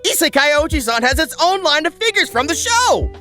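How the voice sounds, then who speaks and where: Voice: sits high, big pitch swings, a little fast, bright, forceful tone. A video essay host narrating a script in a sarcastic, exaggerated way and swearing freely. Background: background music.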